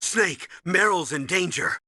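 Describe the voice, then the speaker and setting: Forceful, husky military commander. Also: male voice, high-pitched, animated, measured pace, dry recording, studio quality, exaggerated voice. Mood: alarmed, urgent. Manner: commanding, emphatic, instructive. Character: gruff, urgent military commanding officer briefing his agent. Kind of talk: video game radio briefing acting.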